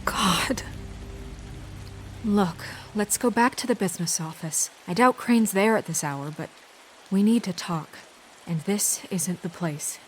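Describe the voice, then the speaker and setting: A woman playing an earnest, composed official in a dark fantasy video game, speaking in a measured, diplomatic way. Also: mid-range voice, close to an everyday voice. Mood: troubled. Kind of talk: dramatic video game acting. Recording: faint rain noise behind the voice.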